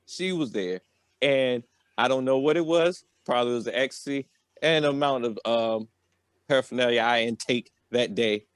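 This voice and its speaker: A man's voice: medium pitch, no echo, studio quality. Casual American man spinning a loose story. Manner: loose, slangy, repeats filler phrases like "or whatever". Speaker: podcast host telling a personal story. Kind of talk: casual, rambling comedic storytelling.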